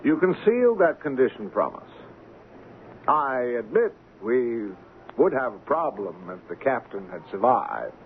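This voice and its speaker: An actor playing a haughty, callous shipping company boss with a formal, condescending manner in an old-time radio drama. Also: male voice, mid-range voice, big pitch swings, unhurried. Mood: smugly dismissive. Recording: lo-fi, noisy radio drama recording.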